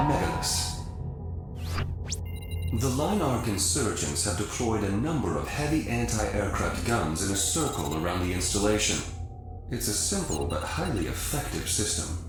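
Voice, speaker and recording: An actor playing a smooth-talking corporate agent who pitches missions formally in a video game briefing. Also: male voice. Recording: heavy echo, music underneath.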